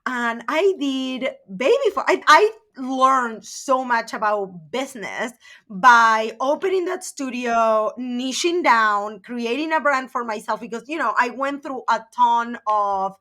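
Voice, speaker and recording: Woman from argentina, middle-aged, bright tense voice, a bit high, chatty podcast guest, lots of "like" and "right?". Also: unhurried. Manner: animated, chatty, uses many fillers like "like" and "right?". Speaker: podcast guest, personal branding coach. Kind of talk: conversational interview storytelling.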